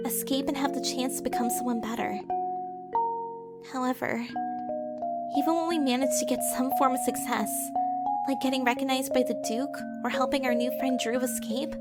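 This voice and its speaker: Audio drama narrator: woman playing an earnest young heroine with a wounded past, high voice, solemn, over prominent music. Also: close to an everyday voice. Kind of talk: scripted first-person audio drama narration.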